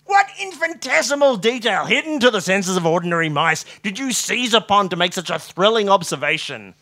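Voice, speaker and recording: Man playing an excitable, high-pitched dormouse doctor. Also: brisk, full character voice. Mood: loud awe. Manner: loud, breathlessly over-awed exclamations. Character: excitable, gushing dormouse doctor sidekick, male.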